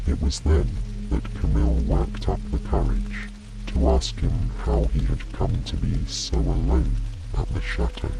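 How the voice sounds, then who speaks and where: A man gently and soothingly narrating a calm bedtime story in an unhurried, soft voice. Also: sits low, rough recording. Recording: rain and music behind. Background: steady rain, soft music.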